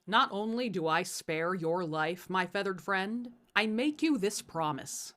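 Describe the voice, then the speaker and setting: A woman voicing a grateful male wolf from a storybook, making solemn, measured vows. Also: medium pitch, lively intonation, a bit bright.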